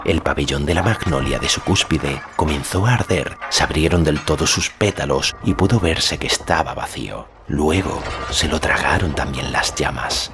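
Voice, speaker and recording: A man's voice: a bass voice, moderate pace, few pauses, background noise. A man reading as an audiobook narrator, giving dramatic scripted narration of a fantasy story. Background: music.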